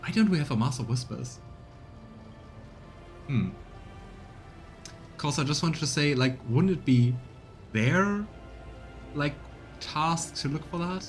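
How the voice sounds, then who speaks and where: A man's voice: on the high side, animated, takes it slowly, pauses often. A man giving casual commentary as a game streamer during a let's play. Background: music.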